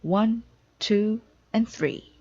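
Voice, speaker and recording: A woman's voice: medium pitch, drawn-out words, a little mellow, muffled recording, voice pushed up. A woman reading a children's storybook, playing a strict, impatient male orchestra conductor with a stern, emphatic delivery. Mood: instructing. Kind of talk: children's storybook read-aloud.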